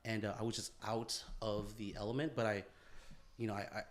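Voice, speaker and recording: A man's voice: fairly low pitch, little pitch movement, brisk. A young man co-hosting a podcast in casual chat, rambling and swearing, often laughing and repeating himself. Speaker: podcast co-host.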